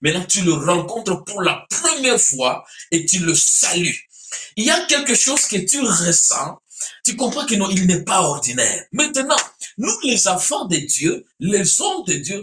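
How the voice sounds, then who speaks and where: A man's voice: high-pitched, pushed and bright, echoey room. A man, preaching and teaching emphatically, often repeating his phrases. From Central Africa. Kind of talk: sermon-like teaching in a religious discussion.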